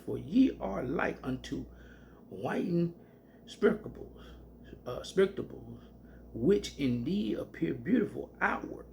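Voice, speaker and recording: A man teaching scripture in a loose, colloquial country way, breaking into loud whoops. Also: on the high side, creaky, slight background noise. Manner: animated, bursts into loud whoops; colloquial Southern speech. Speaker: preacher.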